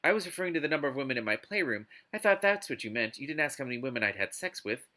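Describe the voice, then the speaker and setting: A suave, commanding male lover from a romance audiobook, acted out by a man. He speaks at a middle pitch with a bright edge, smugly teasing and sardonic. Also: very animated, rapid-fire. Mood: amused.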